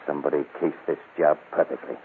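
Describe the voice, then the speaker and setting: Deep, brisk police captain. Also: male voice, fairly even intonation, narrow, tinny audio. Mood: grim, businesslike. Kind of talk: old-time radio crime drama acting. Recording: band-limited old radio recording, light hiss.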